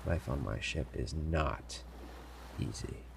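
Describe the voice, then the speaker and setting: A man playing a sly, commanding pirate captain in an audio roleplay, smugly amused and theatrical, with deliberate, formal phrasing, teasing pauses and a gravelly voice. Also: rumbling low, background noise, exaggerated voice. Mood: welcoming, stern. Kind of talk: scripted immersive audio roleplay addressed to listener.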